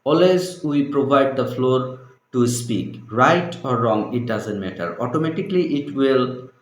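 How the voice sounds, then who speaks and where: A man with a Bangladeshi accent, an English trainer explaining his teaching in halting talk full of fillers. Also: mid-range voice, expressive. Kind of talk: unscripted conversation. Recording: echoey room.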